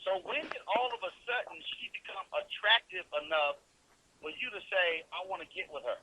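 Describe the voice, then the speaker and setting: Sharp, forceful male radio host pressing hard. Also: high voice, normal speed, husky, dry recording. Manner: pressing, incredulous, repeats points back emphatically. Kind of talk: probing call-in interview. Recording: noisy radio call-in line.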